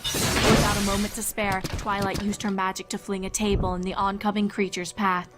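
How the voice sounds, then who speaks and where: A woman's voice: mid-range voice, little pitch movement, normal speed. A woman giving a dramatic scripted narration of a horror fan-fiction story, with music behind her.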